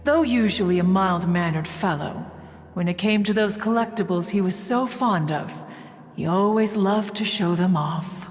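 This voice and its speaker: Actress playing a haughty crane spirit inventor, pompous and stately, long reverb, light game music. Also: female voice, mid-range voice, moderate pace, natural voice. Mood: reminiscing. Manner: pompous, stately, refers to herself as one. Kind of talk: video game voice acting.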